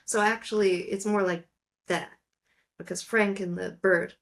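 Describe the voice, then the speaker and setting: A woman's voice: medium pitch, steady tone, unhurried, gravelly. A woman co-hosting a casual film discussion, talking in a playful, jokey way that wanders into digressions. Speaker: podcast co-host.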